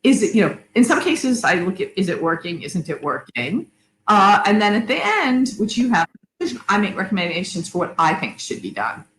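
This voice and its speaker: A woman's voice: mid-range voice, big pitch swings, normal speed, fairly bright tone. A middle-aged woman, an academic guest, talking conversationally and explaining things in lists and asides. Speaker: interview guest, academic and author. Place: indoor room on an online video call. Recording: echoey room, some background noise.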